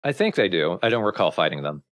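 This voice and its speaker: A man's voice: low voice, big pitch swings, fast talker, a bit bright. A man hosting a let's play, chatting casually about games in a wry, bluntly critical way. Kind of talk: casual gaming commentary with friends.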